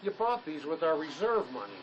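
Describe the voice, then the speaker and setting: A man's voice: on the high side, very animated, a little fast, muffled recording. An actor playing an anxious, protective male ex-con in a drama, earnest, fussing and full of questions. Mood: suspicious. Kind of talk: dramatic script performance. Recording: faint background noise.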